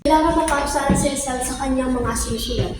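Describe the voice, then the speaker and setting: A woman's voice: high-pitched, quick pace, natural voice. A woman playing a disapproving colonial authority, speaking formally as if reciting, in an amateur historical film. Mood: stern, disapproving. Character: disapproving colonial authority condemning a rebel writer. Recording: echoey, distant, loud music behind.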